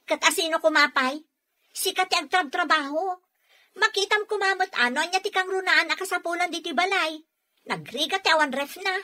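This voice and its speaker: Shrill nagging Ilocano wife. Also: female voice, brisk, sharp tone. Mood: nagging, annoyed. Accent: Filipino, Ilocano. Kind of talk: Ilocano radio drama acting.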